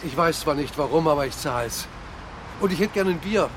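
An actor playing a grumbling bookseller sidekick in a radio drama. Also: male voice, a little high, animated, normal speed, background noise, close to an everyday voice. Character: detective's male bookseller companion, gruff, grumbling, colloquial.

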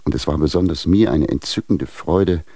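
An actor in a German radio drama playing a stern, sanctimonious guardian, speaking in a pompous, formal, moralizing way with a gravelly bass voice. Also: male voice, normal speed, mellow, no room sound. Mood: cold formality. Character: stern, sanctimonious guardian denouncing his wayward ward.

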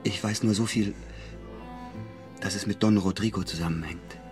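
An actor in a radio play playing a hot-headed, lovestruck young villager with an urgent, earnest manner. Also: male voice, on the low side, fairly even intonation, normal speed, over music, natural voice. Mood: troubled, tender. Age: young adult.

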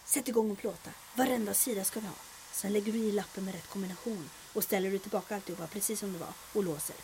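An actress playing an impatient, commanding wartime resistance operative with a sarcastic edge, in a comic audio drama. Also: female voice, medium pitch, fairly even intonation, dark tone, slight background noise, lightly acted, rough recording. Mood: bossy. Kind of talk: comedic audio drama acting.

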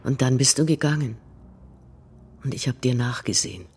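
An actor in a radio drama playing a wistful grown son in an intimate, halting, reflective monologue, hushed and husky. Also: male voice, medium pitch, very noisy, natural voice. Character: wistful grown son haunted by a brief meeting.

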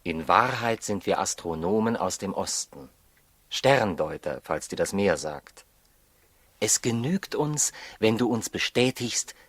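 An actor playing a calm, authoritative astronomer from the East in a 1960s radio drama, courteous and reassuring. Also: male voice, sits low, slightly rough, no echo, heavily acted. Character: calm, courteous leader of travelling Eastern astronomers.

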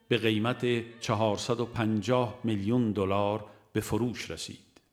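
A man reading a religious audiobook in a measured, formal way, as scripted narration. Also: mid-range voice.

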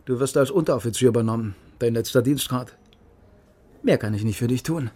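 An actor playing a self-assured, conspiratorial East German secret police lieutenant with clipped, wry delivery in a radio crime drama. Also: male voice, mid-range voice, brisk, darkish tone, lightly acted.

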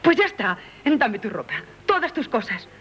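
Devoted, insistent young lover. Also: female voice, a little high, sing-song, moderate pace, fairly bright tone. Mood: resolute. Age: young adult. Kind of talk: 1970s radio drama acting. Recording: noisy 1970s radio broadcast recording.

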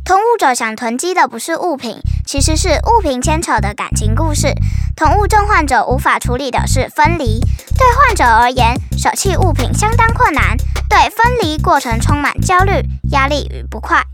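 A woman's voice: high-pitched, big pitch swings, normal speed, music in the background. Woman voicing a chirpy know-it-all little girl. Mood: explaining. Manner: playful teasing, then explains like a lesson. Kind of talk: children's animated show dialogue.

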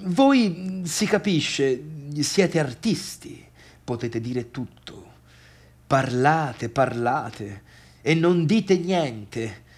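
Man acting a bitter, needy pianist, wildly swinging pitch. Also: animated, husky, full character voice. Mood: hesitant, wounded. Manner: theatrical, halting, swinging from murmurs to outbursts. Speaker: actor performing a theatrical monologue. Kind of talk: radio theatre monologue, addressing imagined listeners.

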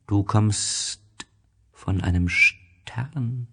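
Man voicing a slow, mysterious desert snake. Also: fairly low pitch, pushed and bright, husky, voice pushed up, exaggerated voice. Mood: solemn, ominous. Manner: slow, drawn-out, riddling delivery.

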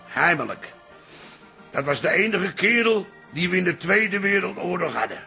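A man's voice: high voice, big pitch swings, moderate pace, exaggerated voice. An actor playing a grumbling older father in a radio drama, theatrical and protesting. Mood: defensive. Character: grumbling older father, reluctant ex-rebel turned sentimental. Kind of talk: radio drama acting with musical performance. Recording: loud music over the voice.

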